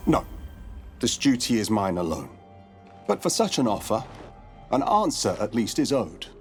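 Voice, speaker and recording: A grave, wary male monster hunter in a fantasy game. His low voice moves expressively through formal, courteous lines with an ominous weight. Soft music sits behind the words. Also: very animated, measured pace. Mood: solemn, menacing. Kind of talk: dramatic video game dialogue acting.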